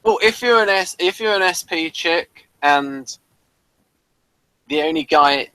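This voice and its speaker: Bright, higher-pitched man, halting delivery. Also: lively intonation, smooth, no echo, poor audio. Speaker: podcast co-host. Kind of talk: casual episode review commentary.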